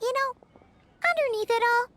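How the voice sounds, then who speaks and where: Squeaky, excitable tiny girl companion. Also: normal speed, background noise. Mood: curious, kindly. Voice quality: squeaky, childlike. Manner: excitable, chirpy. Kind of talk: video game voice acting.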